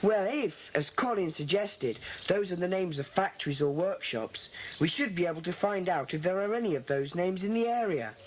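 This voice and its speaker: An actor plays a solemn schoolboy in charge of his secret club. He speaks deliberately and with command, in children's audio drama style. The cassette recording has faint noise. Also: mid-range voice, lively intonation, close to an everyday voice. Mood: businesslike. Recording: faintly noisy 1980s cassette.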